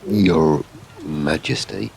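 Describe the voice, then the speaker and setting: An awestruck, pardoned rebel in a fantasy audio drama. His voice is heavily creaky and low. He speaks slowly, haltingly and with humble reverence. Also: a little mellow, a little hiss or hum, full character voice. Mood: timid awe.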